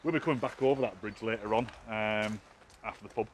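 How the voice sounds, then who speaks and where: Low-voiced Englishman, chatting on a hike. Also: fast talker. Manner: chatty, informal. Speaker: vlogger, hiking guide. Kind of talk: casual walking vlog commentary. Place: outdoors on trails and in a cave. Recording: outdoor ambience and noise.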